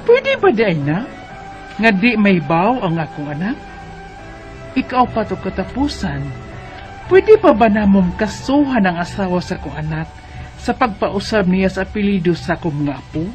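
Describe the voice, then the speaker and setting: A woman's voice: mid-range voice, big pitch swings, normal speed, darkish tone, close to an everyday voice. A woman with a Cebuano Filipino accent playing an aggrieved, pleading grandmother in a dramatized radio letter, questioning insistently. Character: aggrieved, pleading mother and grandmother seeking advice. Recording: dull lo-fi broadcast with background music.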